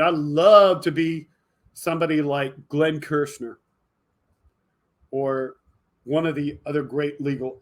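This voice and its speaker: A man hosting a video podcast, delivering an impassioned, emphatic political monologue straight to his viewers, swinging between forceful outbursts and lower asides. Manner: emphatic, fired up, addressing viewers directly. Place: indoor home studio with green screen.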